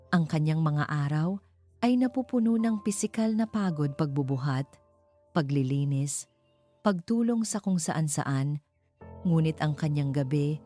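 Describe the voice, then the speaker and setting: A woman's voice: sits low, mellow. A woman with a Filipino accent reading scripted narration of a romance story as its narrator.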